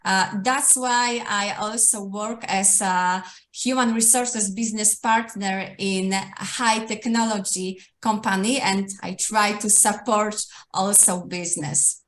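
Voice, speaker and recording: A woman who is an assistant professor, introducing herself briskly on an online panel with frequent "uh" fillers. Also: mid-range voice, fairly even intonation, a bit bright. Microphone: video call microphone.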